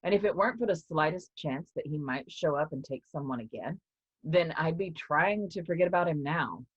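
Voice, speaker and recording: A woman playing a guarded, weary professor in a staged reading, reluctant and measured, as if haunted by something. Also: animated, breathy edge, dry recording. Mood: guarded, troubled. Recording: dull, low-fidelity sound.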